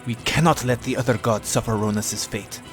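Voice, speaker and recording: A man plays an earnest warrior champion in a fantasy audio drama. He speaks formally and solemnly at a medium pitch. Soft music runs behind him. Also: moderate pace, close to an everyday voice. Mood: resolute.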